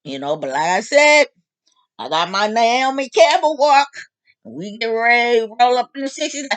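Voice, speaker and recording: A woman in her late fifties talking openly to her audience in a casual, animated, joking monologue. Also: a little high, normal speed, no echo. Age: 50s. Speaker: vlog host.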